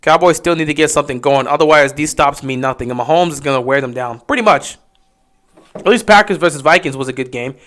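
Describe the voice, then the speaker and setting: A man giving casual live play-by-play commentary on a stream, getting excited and loud on big plays. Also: on the high side, quick pace. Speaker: livestream game commentator.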